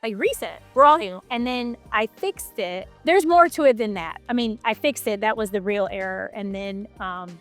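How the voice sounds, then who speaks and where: A woman's voice: mid-range voice, animated, moderate pace, few pauses, soft background music. A woman from Michigan hosting a casual business chat, talking in an enthusiastic, chatty way full of "like". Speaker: host.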